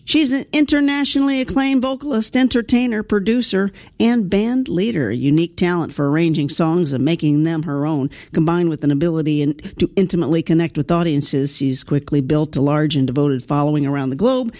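A woman's voice: low-pitched, normal speed, mellow. A woman hosting a radio interview, folksy and enthusiastic, a bit rambling. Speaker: radio host.

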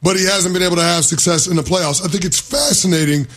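Male sports co-host, husky and fairly high voice, emphatic questioner. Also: fairly even intonation, quick pace, a bit bright, faint music behind. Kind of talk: casual sports debate.

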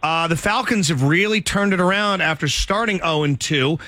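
A man's voice: a little high, sharp tone, no echo. A man hosting a sports radio show, joking around and interviewing a caller. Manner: jokey, teasing, reads promos quickly. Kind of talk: casual sports radio chat. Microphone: studio microphone.

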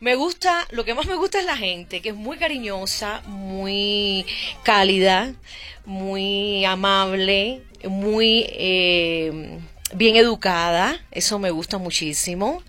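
A woman's voice: mid-range voice, slow pace, a bit bright. Chatty Cuban woman, hesitant fillers. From Cuba. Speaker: singer and actress guest. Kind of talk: casual radio interview. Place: radio studio booth.

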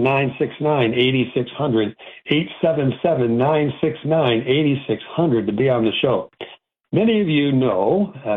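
A man's voice: medium pitch, slightly rough, phone-quality sound. A man from Rochester, New York, hosting an antiques radio show in a chatty, rambling way, often correcting himself mid-sentence. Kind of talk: casual call-in radio talk.

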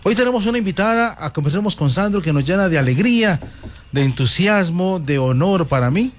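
A man's voice: sits high, expressive, a little fast. A man hosting a talk show, telling a fable to his audience and greeting them effusively. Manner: effusive, repeats "gente linda" often. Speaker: host.

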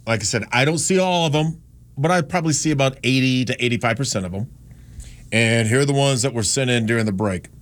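Male radio host, studio mic, rambling and self-mocking, casual chat. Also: mid-range voice. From Gastonia, North Carolina. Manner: rambling, self-deprecating, chatty. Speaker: radio talk show host. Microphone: studio microphone.

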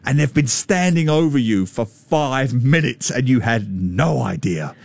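A man in his fifties hosting a radio talk show, telling stories in a chatty, jokey way with a slightly loud voice. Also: medium pitch, unhurried, vocal fry, no echo. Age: 50s. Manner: chatty, jokey, animated storytelling. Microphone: studio microphone.

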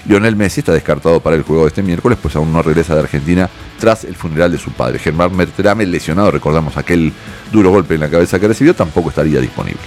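A man with a very low voice on a morning sports radio show. He talks quickly, jokes with his co-host and reads the sports news. Faint music runs behind him. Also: darkish tone. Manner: teasing, joking, formal usted address.

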